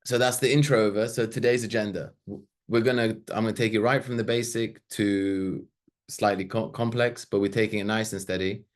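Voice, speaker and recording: Man in his thirties with a fairly low voice; relaxed, chatty presenter who wanders into asides. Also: brisk. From Sweden. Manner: loose and chatty, with fillers and asides. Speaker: webinar presenter. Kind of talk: casual slide-based webinar talk.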